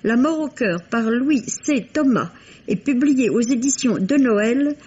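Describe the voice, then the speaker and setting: A woman's voice: on the high side, expressive, measured pace, a little mellow, husky. A woman reading a scripted book review in a formal, literary storytelling manner, as on 1960s French radio. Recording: dull, lo-fi vintage radio recording.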